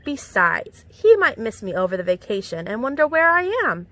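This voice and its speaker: A woman reading a children's picture book aloud in an expressive, animated storytelling manner with clear enunciation. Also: medium pitch, moderate pace. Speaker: storytime reader. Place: outdoors among plants.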